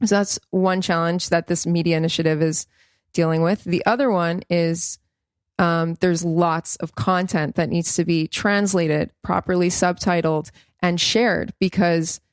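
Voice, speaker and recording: A woman's voice: fairly low pitch, no echo, clean studio sound. A woman from Israel co-hosting a podcast, explaining at length in an earnest, rambling way with restarts. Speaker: podcast co-host. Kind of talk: casual podcast chat.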